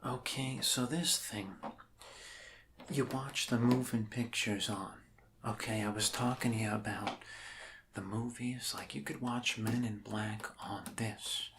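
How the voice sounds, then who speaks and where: A man with an American accent playing a friendly, rambling doctor in a soft-spoken ASMR roleplay, halting, reassuring and dryly jokey. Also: mid-range voice, fairly even intonation, background noise, close to an everyday voice. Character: friendly, rambling human doctor welcoming an alien to Earth.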